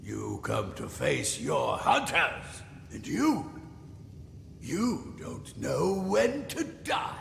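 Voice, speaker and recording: A man playing a menacing, zealous fae war leader in a fantasy game, gravelly and breathy with hissed whispers and pompous, theatrical delivery. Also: medium pitch, sing-song, extremely slow, fairly bright tone, exaggerated voice. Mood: menacing, scornful. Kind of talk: fantasy game villain acting. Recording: echoey hall reverb over noisy ambience.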